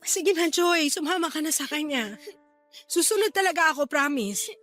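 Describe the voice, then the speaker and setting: A woman playing a caring, gentle aunt in a radio drama, with an affectionate, reassuring manner. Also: high-pitched, lively intonation, moderate pace, soft background music. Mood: tender, reassuring. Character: caring, gentle aunt and small online seller. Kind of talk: Tagalog radio drama acting.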